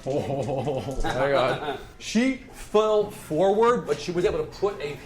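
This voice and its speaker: Male game master, slightly high-pitched, loose profane improvised narration for a tabletop game; roomy echo, faint music. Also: slow pace, a little mellow. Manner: casual, sweary, improvised narration. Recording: echoey room with music behind.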